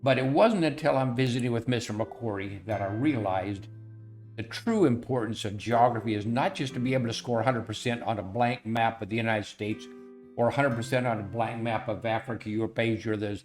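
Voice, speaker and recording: A man's voice: medium pitch, brisk, darkish tone. Earnest older man telling a folksy story. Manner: earnest, folksy, with frequent fillers and repeated phrases. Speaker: educational software founder telling a story. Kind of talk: personal storytelling to camera. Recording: faint guitar music underneath.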